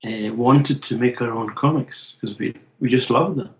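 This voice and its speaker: A man's voice: medium pitch, normal speed, vocal fry, poor audio. A middle-aged man from Scotland with a Scottish accent, a comic book writer chatting as an interview guest in a wry, joking way. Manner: chatty, wry storyteller with a dry joking humor. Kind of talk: casual interview chat.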